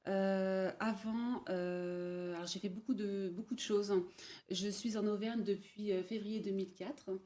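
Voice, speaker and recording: Hesitant woman, mellow voice. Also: mid-range voice, steady tone. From Paris, France. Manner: hesitant, many pauses and fillers. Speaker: guest, bridal showroom manager. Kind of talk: casual interview, telling her career story.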